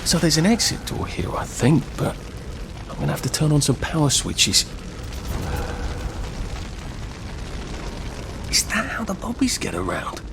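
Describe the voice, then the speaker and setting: An anxious, polite Englishman in a video game, with a slightly high, creaky voice. He mutters wry, understated remarks to himself in lively swings of pitch over music. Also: fairly quick, background noise, exaggerated voice. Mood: pondering. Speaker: player character in a video game. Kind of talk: video game voice acting, musing aloud to himself.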